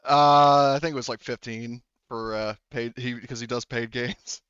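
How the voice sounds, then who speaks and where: A man's voice: medium pitch, fairly even intonation, unhurried, fairly bright tone, smooth, dry recording, lo-fi sound. A man acting as game master, narrating a tabletop game in a casual, jokey, profane, improvised way.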